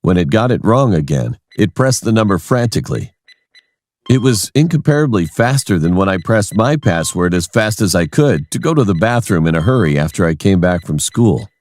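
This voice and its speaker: A man's voice: low voice, big pitch swings, moderate pace, darkish tone. A man reading a scripted first-person horror story as its narrator.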